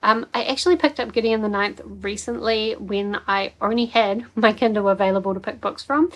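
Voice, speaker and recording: A woman's voice: mid-range voice, moderate pace, poor audio. A young woman with a New Zealand accent, chatting casually about books in a rambling, filler-heavy way. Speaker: book vlogger. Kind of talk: casual book chat.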